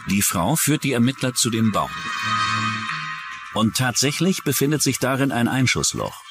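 Male documentary narrator, true-crime script, mid pitch with animated swings, faint music beneath. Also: normal speed.

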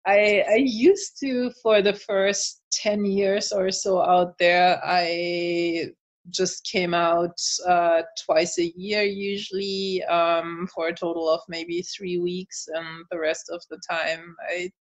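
German-accented woman, flat even speech. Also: on the low side, slow pace, muffled recording. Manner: thoughtful, many fillers, laughs often. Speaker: author and translator guest. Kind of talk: casual interview chat.

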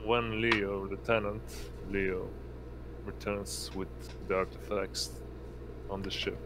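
A man with a deep voice runs a tabletop game over an online call. He narrates slowly and haltingly in a flat tone, with clear noise in the background. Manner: halting, hesitant delivery. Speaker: game master.

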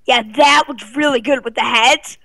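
Bright, very high young gamer, playful. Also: fairly even intonation, normal speed. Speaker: co-player guest. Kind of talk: casual gaming chat.

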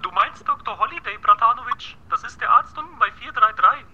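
A high, goofy voice as a chummy joker pal in an audio play. He comes through a noisy, dull phone line, punning and slangy. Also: expressive, a little fast. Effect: telephone filter.

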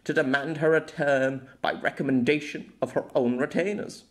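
A man's voice: a little high, fairly even intonation, moderate pace, lightly acted. A man voicing a sardonic, sneering bowman in a fantasy story. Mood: knowing. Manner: sneering, mocking.

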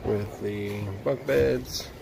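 A man's voice: lowish voice, steady tone, drawn-out words, gravelly. Man vlogger, easygoing walkthrough commentary, phone mic, noisy exhibition hall. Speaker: vlogger touring trailers. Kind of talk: casual walkthrough chat. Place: indoor convention hall RV show.

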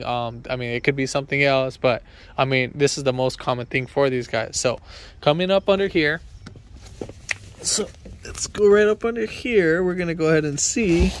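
A man in his thirties, an auto mechanic, talks viewers through a repair in an informal, halting, conversational way into a handheld phone. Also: on the high side, clean tone. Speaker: auto mechanic and channel host. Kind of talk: informal hands-on repair explainer to camera. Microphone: handheld phone at arm's length.

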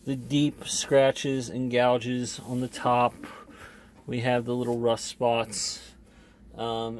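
A man giving a casual, unscripted product demonstration as an online seller, plainspoken and a bit halting. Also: mid-range voice, a little hiss or hum.

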